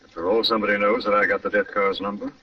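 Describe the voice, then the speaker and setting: An actor playing a composed, shrewd gentleman detective in an old radio mystery, polished and measured. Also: lowish voice, little pitch movement, muffled recording, natural voice. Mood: calm, shrewd. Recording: vintage radio recording, slightly distant.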